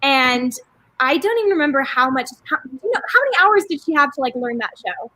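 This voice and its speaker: Young woman, high voice, dry sound, animated casual storytelling. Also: a little fast, no echo, low-quality recording. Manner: animated, with many fillers. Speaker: cast member.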